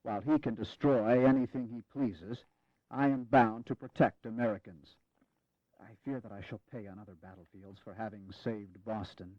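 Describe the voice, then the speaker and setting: An actor playing a grave, courteous American Revolutionary general in an audio drama, speaking in a measured, formal way. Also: male voice, on the low side, fairly even intonation, no echo, lightly acted. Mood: wry, grave. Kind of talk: dramatized history audio acting. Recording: dull, lo-fi 1980s audio drama.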